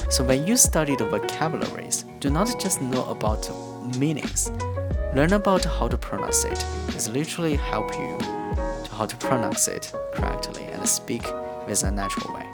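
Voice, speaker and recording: A man's voice: medium pitch, sing-song, moderate pace. A man whose first language is Korean, from near the China–North Korea border, giving earnest, plain English-learning tips to camera. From China–North Korea border region. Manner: earnest, plain, non-native phrasing with restarts. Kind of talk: instructional talk to camera. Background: guitar music.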